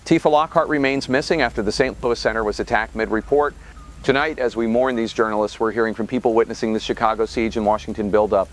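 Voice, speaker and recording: A man's voice: on the low side, fairly even intonation, quick pace, a little hiss or hum, close to an everyday voice. A man playing a sombre late-night radio call-in host in a wartime audio drama, with solemn, clipped announcing. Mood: solemn, urgent.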